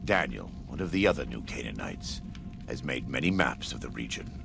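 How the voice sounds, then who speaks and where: A man playing a solemn, devout war leader in a video game, gravelly, measured and gravely courteous. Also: extremely low pitch, very animated, music in the background, full character voice. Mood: somber. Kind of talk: video game character dialogue.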